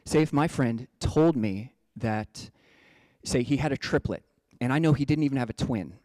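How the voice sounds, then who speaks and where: A man's voice: medium pitch, moderate pace, a little mellow, dry recording. A man from the United States, a Buddhist monk giving a casual, playful dharma talk. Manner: playful, chatty, breaks into joking slang voices.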